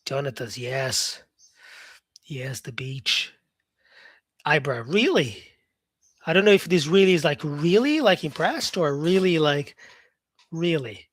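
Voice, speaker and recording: A fairly high-voiced man hosts a live English lesson and chats loosely with viewers. He reads out their comments and talks with plenty of fillers. His voice is close to the mic and sounds dry, with no room echo. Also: expressive, slow pace, pauses often, fairly bright tone. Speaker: English teacher on a live stream. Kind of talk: casual live-stream teaching, talking to viewers.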